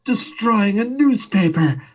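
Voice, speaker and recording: A man's voice: sits high, animated, takes it slowly, a little mellow, recorded from a distance, heavily acted. A man playing an agitated scientist in an old-time radio drama, speaking with urgent insistence. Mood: alarmed. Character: agitated male scientist phoning in alarm.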